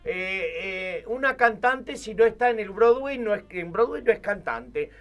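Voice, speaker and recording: A man's voice: high-pitched, animated, a little slow, a bit bright. An Argentine man hosting a casual interview, talking emphatically and piling up synonyms as he rephrases. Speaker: interviewer, show host.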